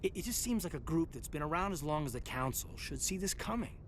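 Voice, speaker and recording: Game role: earnest young military officer male actor, guarded, measured, formal, very varied pitch, noisy rumbling backdrop. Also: lively intonation, natural voice. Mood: reflective, guarded. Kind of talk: video game dialogue acting. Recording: clear background rumble and noise.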